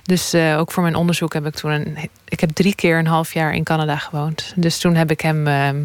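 Low-voiced young woman, dry close sound. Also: little pitch movement, a little fast, dry recording. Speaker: studio guest, academic researcher. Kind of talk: casual conversational interview answers.

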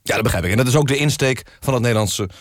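A man's voice: medium pitch, rapid-fire, dry recording, studio quality. A man presenting a mock-serious radio interview, politely probing and somewhat hesitant in his phrasing.

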